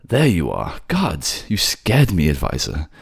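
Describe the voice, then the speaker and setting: A man puts on a devoted male sea creature for an audio drama, pitched well above his natural register. He speaks with tender, earnest concern, in a dry, close recording. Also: wildly swooping pitch, unhurried, creaky, husky, no room sound, heavily acted. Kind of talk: dramatic ASMR roleplay.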